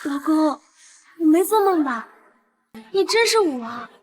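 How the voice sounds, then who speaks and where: Actress, young devoted wife, melodrama, excitable, high pitch, lively intonation. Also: female voice, measured pace. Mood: amazed. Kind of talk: melodramatic short-drama acting.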